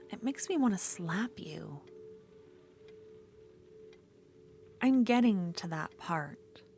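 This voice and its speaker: Slow, obsessive yandere rival played by a woman. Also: mid-range voice, animated, a little mellow. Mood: impatient, menacing. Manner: slow, intimate, often dropping into breathy whispers. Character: obsessive, unhinged female yandere duelist rival. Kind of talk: ASMR fantasy roleplay monologue.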